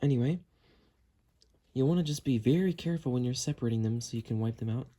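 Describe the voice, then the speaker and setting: A man's voice: mid-range voice, quick pace, warm tone. A man giving a casual how-to narration, explaining each step as he works with his hands. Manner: explanatory, talking while working with hands. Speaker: host of a how-to cleaning video.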